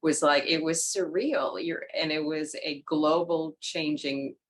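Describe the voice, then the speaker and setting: A woman with a New York accent, co-hosting a podcast and telling a story in a lively way. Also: low-pitched, unhurried, a little hiss or hum. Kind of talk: conversational podcast interview.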